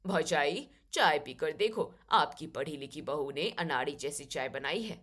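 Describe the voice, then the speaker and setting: A woman's voice: sits low, expressive, measured pace, fairly bright tone, voice pushed down, full character voice. A woman playing a disapproving older aunt who complains and sneers in a family drama audio story. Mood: sneering.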